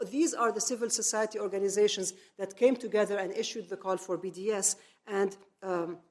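Middle-aged woman lecturing with deliberate emphasis. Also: medium pitch, steady tone, a little mellow. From grew up in Lebanon. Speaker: speaker, activist lecturer. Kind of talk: public lecture, semi-improvised.

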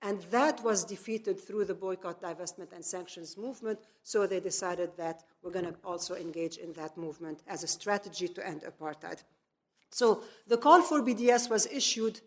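A middle-aged woman from Lebanon giving a semi-improvised public lecture, phrasing deliberately and stressing key words. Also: on the low side. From grew up in Lebanon. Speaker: speaker, activist lecturer.

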